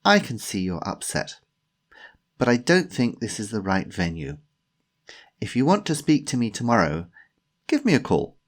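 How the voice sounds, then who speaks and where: A man's voice: fairly low pitch, huge pitch swings, moderate pace, long pauses. A man voicing a hesitant, polite fellow in an audiobook story, awkward and placating as he bluffs.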